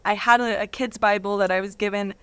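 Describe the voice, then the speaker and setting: An adult woman, a guest and reader, speaking at a medium pitch. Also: fairly even intonation, fairly quick, a bit bright, clean tone, no room sound.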